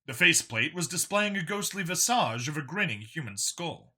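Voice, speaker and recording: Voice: mid-range voice, very animated, moderate pace, pushed and bright. A narrator reading a scripted science fiction story as an audiobook.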